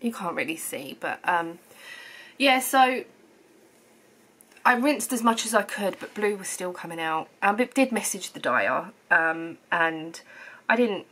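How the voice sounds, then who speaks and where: Home vlogger, thirties, bright, chatty, slightly coldy voice. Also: female voice, on the low side, lively intonation, measured pace. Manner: chatty, rambling, lots of ums and restarts. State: slightly hoarse from a cold. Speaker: knitting vlogger. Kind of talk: casual chat to camera. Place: living room at home.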